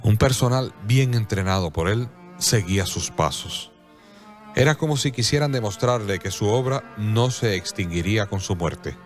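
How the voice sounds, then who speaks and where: A man's voice: lowish voice, lively intonation, normal speed, soft background music. A man narrating a scripted religious radio drama in a solemn storytelling manner.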